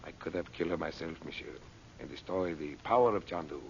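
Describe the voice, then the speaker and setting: A man's voice: low-pitched, fast talker, creaky. A man playing a sly, falsely humble schemer with a French accent and silky, over-polite phrasing in an old-time radio drama. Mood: coaxing, secretive. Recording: dull lo-fi old radio, faint hiss.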